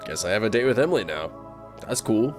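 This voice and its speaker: Animated man rambling over a game. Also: on the low side, fast talker, over music. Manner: rambling, exclaiming, incredulous. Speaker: let's player. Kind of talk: casual game commentary.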